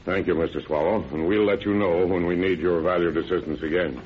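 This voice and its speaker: An actor playing a confident, methodical sheriff in an old radio drama, firm and brisk. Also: male voice, sits low, steady tone, natural voice. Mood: firm, assured. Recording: dull, noisy old radio recording.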